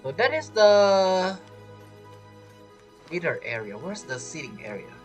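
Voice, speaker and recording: Gameplay commentator: man, very animated pitch, jokey and informal, soft game score behind. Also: a little slow, faint music behind. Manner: jokey, acts out imagined quotes, slangy. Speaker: game streamer.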